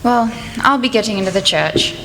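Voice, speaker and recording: A woman's voice: mid-range voice, animated, moderate pace, a bit bright, slight background noise, rough recording. A woman playing a sour, sanctimonious village gossip in a radio play, prim and disapproving. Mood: sour, pious.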